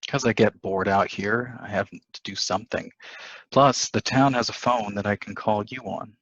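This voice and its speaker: A man's voice: fairly low pitch, steady tone, moderate pace, fairly bright tone. A man playing a coldly calculating newlywed husband in a table reading of a stage play, in dull audio. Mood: persuasive, sly.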